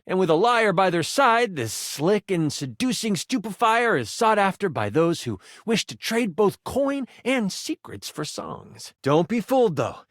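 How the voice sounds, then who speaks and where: A man's voice: sits high, no echo, studio quality. A man voicing an eager, nerdy living twenty-sided die in a video game, a theatrical game chronicler with geeky, mock-archaic flourishes. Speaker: game master character in a video game. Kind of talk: animated video game voice acting.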